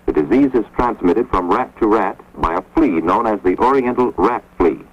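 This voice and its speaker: A man's voice: fairly low pitch, expressive, slightly rough. Grave man narrating an old film. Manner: measured, grave, authoritative delivery. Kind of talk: scripted educational narration. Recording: dull, lo-fi 1950s film soundtrack.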